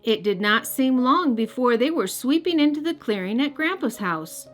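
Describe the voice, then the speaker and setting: A woman's voice: high-pitched, lively intonation, normal speed. A woman hosting and narrating a children's read-aloud, speaking expressively and directly to young listeners. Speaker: host and storybook reader. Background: music.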